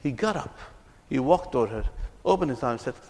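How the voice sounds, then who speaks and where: A man's voice: mid-range voice, animated, fairly quick, a little mellow. Man, reconciliation speaker, earnest and repetitive storyteller, reverberant hall sound. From Palestine. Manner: earnest storytelling, often repeats words. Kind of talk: speech to an audience.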